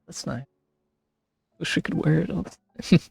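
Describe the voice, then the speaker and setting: Very creaky-voiced man casually commentating a game. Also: fairly high pitch, normal speed, a little mellow. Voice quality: creaky, gravelly. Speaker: game streamer. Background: faint game music.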